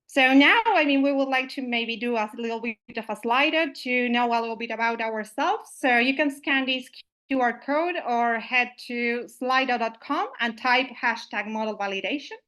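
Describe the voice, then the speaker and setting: A woman's voice: on the high side, moderate pace, fairly bright tone. A woman from Uruguay, opening an online meetup as its host, friendly and full of "uh" and "I mean" fillers, over a video call microphone.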